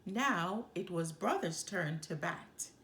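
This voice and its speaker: A woman reading a children's storybook aloud with expressive, dramatic delivery. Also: on the low side, slow pace. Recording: roomy echo.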